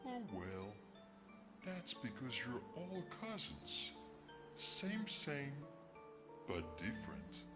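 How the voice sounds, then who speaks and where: Whimsical slow-talking creature, swooping pitch. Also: male voice, huge pitch swings, exaggerated voice. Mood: musing, delighted. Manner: naive, musing, blurts simple statements. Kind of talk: video game cutscene acting. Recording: loud music behind the voice.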